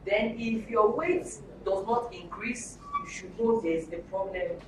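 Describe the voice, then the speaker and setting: A woman's voice: medium pitch, measured pace. A woman with a Nigerian accent, a journalist speaking spontaneously from the floor in a workshop discussion. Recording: echoey room, distant mic, clear noise.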